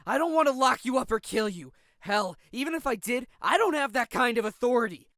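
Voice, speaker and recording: A male actor as an earnest fighter in an audio drama, insistent and resolute. The voice sits high and bright, recorded very dry. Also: lively intonation, fairly quick, no room sound, heavily acted. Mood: frustrated, resolute. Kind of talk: audio drama character acting.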